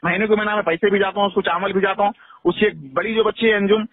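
Fast, high-pitched Hyderabadi man on phone. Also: steady tone, no echo. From Hyderabad, India. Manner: insistent, repetitive, swearing. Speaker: caller. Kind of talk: heated informal phone conversation. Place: telephone call, played in a news upload. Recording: tinny phone call recording.

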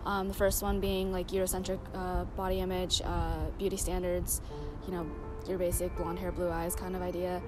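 A young woman in her early twenties answers interview questions outdoors. She talks casually, leaning on "um" and "like." Music plays clearly behind her. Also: lowish voice, moderate pace. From Seattle. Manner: relaxed, filler-heavy with "um" and "like". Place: outdoors by bushes.

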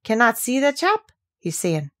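A woman voicing a cheerful country boy with a Yorkshire accent, talking quickly and easily with good humour. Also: fairly high pitch, big pitch swings, a bit bright, pitched above the performer's own voice. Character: cheerful country boy, easygoing, friendly with animals.

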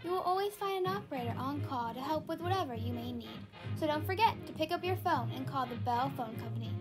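A woman's voice: high voice, expressive, quick pace, over music. A woman playing a polished, promotional announcer reading a scripted old-fashioned radio advertisement. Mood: upbeat, promotional.

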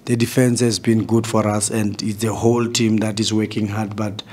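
South African coach, man, forties, low flat delivery, measured, restarts a lot, table mic in press room. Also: slight background noise. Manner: measured, restarts phrases often. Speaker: football coach. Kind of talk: post-match press conference answers. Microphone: table mic in front.

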